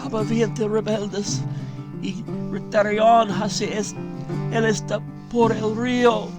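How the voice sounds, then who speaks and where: A man voicing a wounded Mexican officer, halting and gasping in pain, in a dramatic storybook reading. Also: high voice, little pitch movement, pitched above the performer's own voice. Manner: strained, halting, speaking Spanish through gasps. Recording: guitar music behind the voice.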